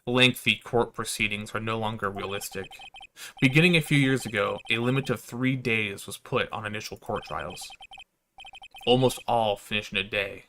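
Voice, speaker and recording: A man's voice: fairly low pitch, normal speed, sharp tone, a little hiss or hum, rough recording. Man reading as a weary, wry young lawyer. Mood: grim, reflective. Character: beleaguered young male lawyer, dry and weary.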